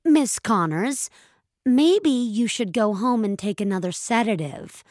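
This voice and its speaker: A woman's voice: on the high side, animated, measured pace, a little mellow, dry recording, clean studio sound. A woman voicing a young, falsely polite customer service agent in an audio drama. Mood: patronizing. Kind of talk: audio fiction acting.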